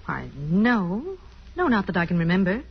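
An actress playing a grieving, self-doubting wife in a mid-century radio drama, with a hesitant, wistful delivery. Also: female voice, lowish voice, very animated, normal speed, heavily acted. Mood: subdued, regretful. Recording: dull, slightly husky old radio recording.

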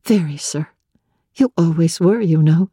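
A woman voicing a devoted young woman, tender, practical and gently teasing, with composed, formal speech in a dramatic audiobook. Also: sits low, measured pace, slightly rough, no echo, studio quality. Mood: playful teasing. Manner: composed, playful, formal old-fashioned speech. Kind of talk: dramatic literary audiobook reading.

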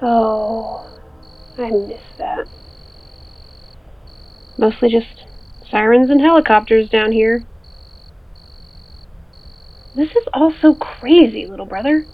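Big sister on a tinny phone call, highish voice, caring + practical, audio drama. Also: female voice, slow pace, pauses often. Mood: wistful, fond. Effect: phone filter. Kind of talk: naturalistic audio drama dialogue. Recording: thin, band-limited phone line.

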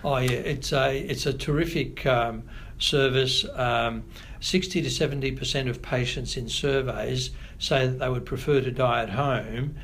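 Measured Australian man, unhurried. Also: medium pitch, steady tone, fairly bright tone, slight background noise. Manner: measured, thoughtful, pauses often. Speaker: guest, family doctor. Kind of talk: podcast interview.

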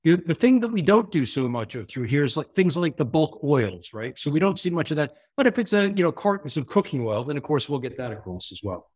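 A man's voice: on the high side, very animated, brisk, few pauses, a little mellow. New York-accented American man. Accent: American, New York area. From New York area, USA. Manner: fluent, explanatory, businesslike. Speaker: port executive. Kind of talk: interview answers.

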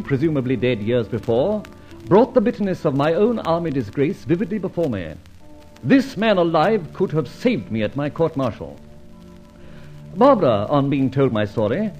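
An actor playing a gentleman adventurer who narrates his exploit in a formal, dramatic radio serial style. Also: a little high, low-quality recording. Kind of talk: scripted first-person radio serial narration.

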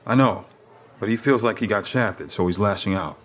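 A man playing a calm, protective eldest brother in a naturalistic audio drama. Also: fairly low pitch, moderate pace, natural voice. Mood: reassuring. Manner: even-tempered, reassuring.

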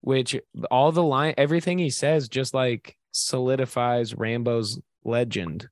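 A man's voice: mid-range voice, steady tone, measured pace, no echo, studio quality. A man chatting eagerly in a casual podcast about action movies. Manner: enthusiastic, rambling. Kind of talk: casual comedic chat.

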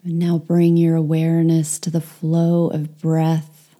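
A woman leading a guided meditation, speaking softly and soothingly, with some phrases whispered. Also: low voice, monotone, a little slow.

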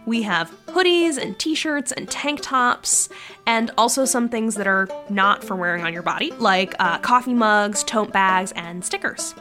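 A woman's voice: medium pitch, lively intonation, unhurried, pushed and bright. A woman giving a casual podcast merch promo in a chatty, wry manner. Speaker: podcast show member. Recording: music behind.

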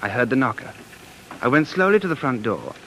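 A man's voice: mid-range voice, big pitch swings, fairly quick, fairly bright tone, slight background noise, low-quality recording. An actor playing an earnest, dreamy man who is obsessed with the past, narrating intensely in 1940s radio drama style. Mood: hushed, suspenseful. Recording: vintage 1940s radio broadcast with music.

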